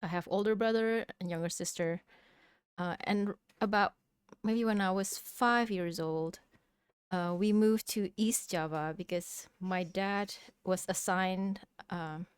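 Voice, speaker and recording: A woman's voice: medium pitch, steady tone, unhurried, smooth, no echo, studio quality. A woman from Indonesia, an English speaker, answering interview questions hesitantly with many fillers. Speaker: guest, real estate investor. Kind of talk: casual interview.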